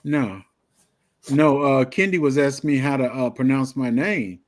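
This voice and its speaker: A man's voice: medium pitch, measured pace. A man, chatting casually on a livestream, often stammering and restarting his sentences. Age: 60s. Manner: stammering restarts, Southern vernacular, good-natured. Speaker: livestream co-host.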